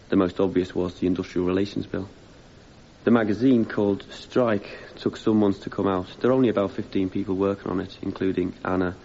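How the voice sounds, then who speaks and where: An actor playing an earnest young activist giving plain, thoughtful testimony as a defendant in a radio drama. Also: male voice, low-pitched, warm tone. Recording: dull, faintly noisy radio broadcast copy.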